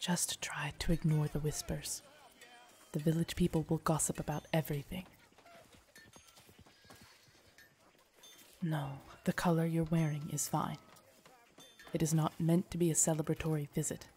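A woman playing a loyal, gentle royal knight in an audio roleplay, formal, deferential and soothing in her measured speech. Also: sits low, little pitch movement, natural voice. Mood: gentle, attentive. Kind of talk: immersive audio roleplay acting.

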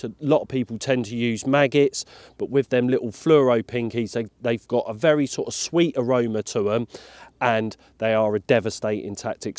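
A man's voice: mid-range voice, darkish tone, no echo. Man easygoing angler, dry close mic. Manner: easygoing, enthusiastic, conversational. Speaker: carp fishing presenter. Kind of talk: instructional explanation to camera. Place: outdoors by a lake, beside a bivvy tent. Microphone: clip-on lapel mic with windshield.

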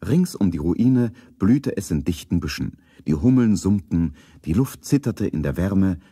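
A man narrating a children's story in a calm, scripted 1970s radio play style. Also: deep voice, measured pace, breathy edge, no echo, studio quality, exaggerated voice.

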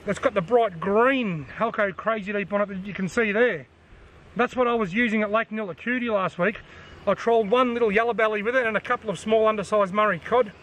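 Chatty Australian man, fairly high voice. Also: brisk, a bit bright, rough recording. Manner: chatty, practical, repeats key points for the viewer. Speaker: fishing channel host. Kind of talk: casual to-camera explainer. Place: outdoors at the edge of a forest. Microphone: camera on a tripod at chest height.

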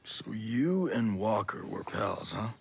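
A man's voice: fairly low pitch, big pitch swings, slow, gravelly, slightly rough, full character voice. A man playing a wry, battle-hardened soldier in a video game, speaking tersely and dryly. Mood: guarded. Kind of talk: video game cutscene acting.

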